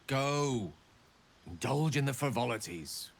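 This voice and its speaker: An actor playing a wistful, scholarly and self-deprecating wizard in a video game, speaking in florid, theatrical phrases. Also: male voice, mid-range voice, expressive, slow, vocal fry, full character voice. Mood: playful, flustered. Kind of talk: video game character dialogue.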